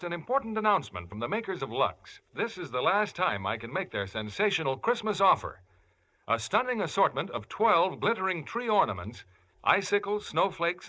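A man's voice: fairly high pitch, sharp tone. A man reading a 1950s radio advertisement as announcer, with emphatic, polished salesmanship. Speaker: commercial announcer. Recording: vintage radio broadcast.

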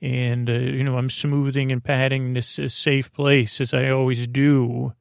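Creaky, meandering male bedtime podcaster. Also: mid-range voice, little pitch movement, no echo, studio quality. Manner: meandering, halting, goofy, digressive. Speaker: podcast host. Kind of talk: rambling bedtime podcast monologue.

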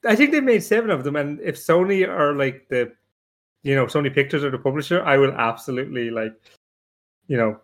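A man's voice: a little high, lively intonation, brisk. A man in casual, joking panel chat, bantering and sarcastic, with the odd swear word. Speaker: podcast panelist.

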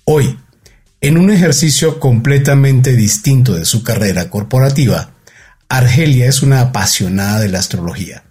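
A male podcast host with a dark, mellow tone and a slightly husky edge. He reads his guest's introduction in a measured, formal way, then moves into easy conversation. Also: mid-range voice, expressive. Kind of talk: reading an introduction, then chatting.

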